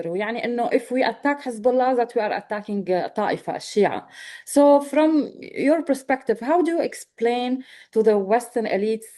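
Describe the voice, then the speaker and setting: A woman's voice: on the high side, mellow. A woman with a Lebanese accent hosting an interview, asking questions hesitantly and slipping Arabic words into her English. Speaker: talk show host.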